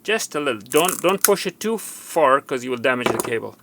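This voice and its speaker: Male tutorial host, creaky forceful voice, walking through a repair step by step with repeated cautions. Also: mid-range voice, expressive, fairly quick, slight background noise. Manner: instructive, repetitive, cautioning. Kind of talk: unscripted hands-on repair demonstration.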